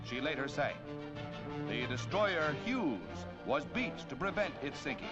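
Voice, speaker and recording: A dull, band-limited vintage newsreel recording with loud music under the voice. An American man narrates in a high-pitched, declamatory voice. His delivery is scripted and dramatic, in the 1940s newsreel style. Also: expressive, a little slow.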